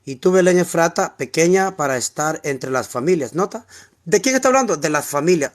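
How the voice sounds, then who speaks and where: A man's voice: fairly high pitch, animated, a little fast. A man with a Honduran Spanish accent teaching the Bible in an informal, explanatory way, repeating key words and asking rhetorical questions. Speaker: preacher teaching scripture.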